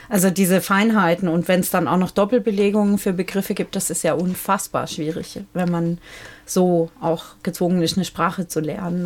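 A woman leads a moderated discussion with guests, her voice sitting a little low. She thinks out loud as she goes and keeps posing follow-up questions. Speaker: discussion host.

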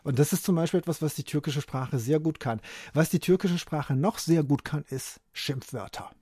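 A man's voice: fairly high pitch, normal speed, dark tone, slightly rough, no room sound. Man explaining emphatically, dry close sound. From Germany. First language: Turkish. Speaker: interview guest, author. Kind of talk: conversational interview answers.

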